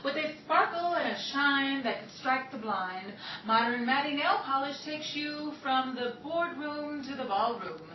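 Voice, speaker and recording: High-voiced woman radio announcer in echoey hall. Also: animated, a little slow, dull audio. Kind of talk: scripted 1930s-style radio narration and sponsor reading. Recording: roomy hall, distant mic, audience noise.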